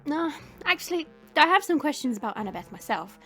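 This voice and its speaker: The bouncy, high voice of a woman playing the party's playful tease in a fantasy audio roleplay, needling companions over faint music. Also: big pitch swings, a little fast, fairly bright tone. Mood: teasing. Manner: teasing, playful. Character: cheeky young woman adventurer, teasing and nosy. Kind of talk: audio drama banter.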